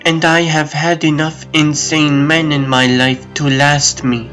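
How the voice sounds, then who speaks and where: A man's voice: a little high, flat delivery, measured pace, a bit bright. A man voicing a guarded, blunt, sceptical woman in a comic dub. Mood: guarded, unimpressed. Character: deaf woman, guarded, blunt, unimpressed by men. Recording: music behind the voice.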